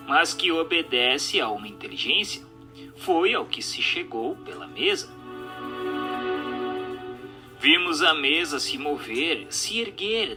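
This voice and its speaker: Bright, animated Brazilian man narrating formally. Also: fairly high pitch. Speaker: narrator reading a book aloud. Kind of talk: scripted narration, didactic audiobook reading.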